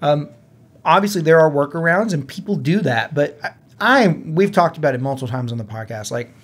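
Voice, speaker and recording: Man, slightly high voice, earnest, conversational, casual podcast co-host. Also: expressive, a little fast, husky. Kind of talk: casual gaming podcast chat.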